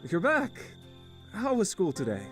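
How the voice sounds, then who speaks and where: A man playing a weary, middle-aged uncle with a failing marriage, earnest and measured, in a visual novel game. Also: high-pitched, wildly swooping pitch, over music, full character voice. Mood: weary, gentle.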